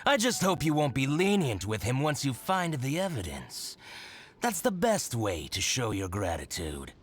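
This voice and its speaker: A man's voice: medium pitch, very animated, measured pace. An actor voicing a modest, gracious man in a video game. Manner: modest, earnest.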